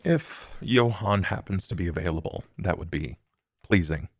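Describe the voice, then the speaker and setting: A man playing a tabletop roleplaying game in character, with measured, formal, calculating speech. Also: low-pitched, expressive, no echo, clean studio sound. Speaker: player.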